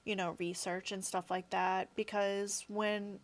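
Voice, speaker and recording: A woman's voice: mid-range voice, level tone, unhurried. A woman in her thirties, a guest in a casual interview, talking in a chatty, rambling way full of "like" and "you know". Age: 30s.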